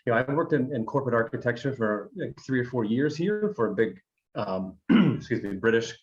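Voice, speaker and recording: A man's voice: mid-range voice, lively intonation, fairly quick, darkish tone. A man in his thirties from Alabama, a design firm founder talking through his career in a loose, rambling way with many ums. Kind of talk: conversational interview. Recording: dull, lo-fi sound.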